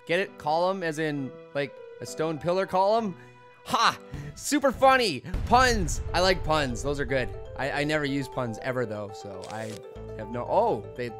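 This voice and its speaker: A young man giving goofy, casual gameplay commentary, slightly hoarse from losing his voice, with sudden loud yelled outbursts. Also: on the high side. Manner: goofy, jokey, bursts into loud yelled shouts. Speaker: gaming commentator. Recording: loud game music behind the voice. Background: video game music.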